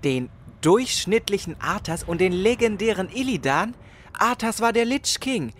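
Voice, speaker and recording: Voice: mid-range voice, sing-song, moderate pace, slight background noise, lightly acted. A performer playing a courteous, pedantic and devoted fantasy companion with a formal manner in a comedy audio drama. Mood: reproachful. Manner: formal, correcting, matter-of-fact.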